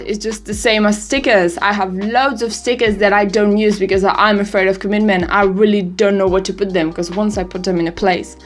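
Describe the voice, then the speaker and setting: An eighteen-year-old woman from Europe, English not her first language, chatting casually in a rambling, giggly, self-deprecating vlog. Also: medium pitch. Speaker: vlogger. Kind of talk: casual birthday vlog chat.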